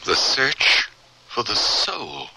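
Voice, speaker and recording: A devoted scientist husband racked with anguish. The man's voice is intensely sharp and forceful, with sweeping inflection and the halting, weighty delivery of old-time radio drama. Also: lowish voice, huge pitch swings, vocal fry, breathy edge, heavily acted, low-quality recording. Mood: reluctant, grave.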